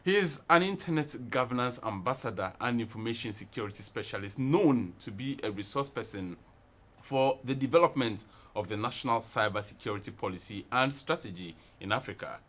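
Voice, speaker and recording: A man's voice: medium pitch, animated, a little fast, a bit bright. A man, hosting a TV interview show. He reads a long formal introduction, then stumbles and repeats words as he probes his guest. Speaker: TV talk show host.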